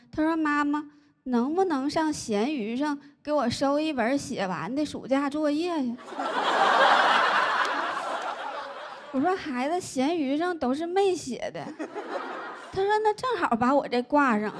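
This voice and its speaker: Woman doing standup, high voice, deadpan punchlines, handheld mic, audience laughing loud in the background. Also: measured pace, long pauses. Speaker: stand-up comedian. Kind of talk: stand-up comedy set. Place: large indoor comedy stage. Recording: live audience laughter noise.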